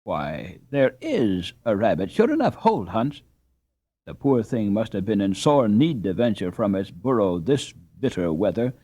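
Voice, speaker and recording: A male reader voicing a warm-hearted, weary peasant mother with drawn-out, sighing, old-fashioned speech. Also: fairly low pitch, big pitch swings, slightly rough, no room sound, full character voice. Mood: fussing.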